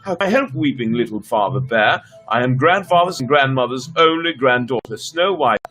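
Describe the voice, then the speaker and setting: A man's voice: medium pitch, expressive, normal speed, fairly bright tone, soft background music. A man voicing a lost, weeping little girl from a fairy tale, plaintive, wary and dramatic. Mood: plaintive lament. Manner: plaintive, dramatic, repetitive lamenting.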